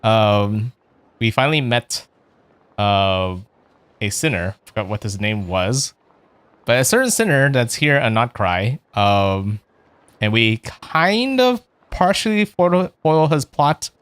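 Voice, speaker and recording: A man's voice: medium pitch, very animated, slow pace, a bit bright, clean tone, no room sound. A man hosting a casual video game let's play, chatting in a rambling way with fillers and small chuckles. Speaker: virtual streamer playing a video game.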